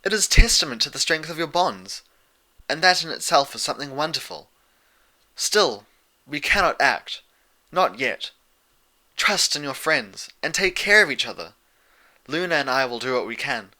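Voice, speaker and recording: A man voicing a gentle but firm, stately pony princess in a fan fiction story, speaking in measured, formal phrases. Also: on the high side, sing-song, pitched above the performer's own voice, exaggerated voice, poor audio. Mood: calm authority.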